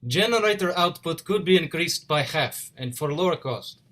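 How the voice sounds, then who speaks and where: A man's voice: a little high, animated, moderate pace, a bit bright. A man playing a polite, proud immigrant engineer in a play reading, with an Eastern European accent and formal, clipped phrasing that drops articles. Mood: reserved. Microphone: video call.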